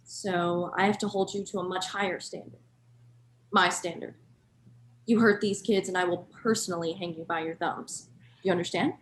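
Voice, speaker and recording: A woman playing a brisk, stern daycare boss in her late thirties in a dramatic script reading. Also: on the low side, fairly even intonation, lightly acted. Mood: stern, threatening.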